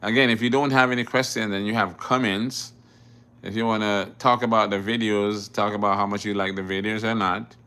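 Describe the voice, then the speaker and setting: A man's voice: fairly low pitch, steady tone, a little fast, sharp tone, clean tone. A middle-aged man from Jamaica, a driving instructor, hosting a casual live Q&A where he reads viewer questions aloud and answers them plainly. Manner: repeats words and restarts often. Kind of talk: casual livestream Q&A.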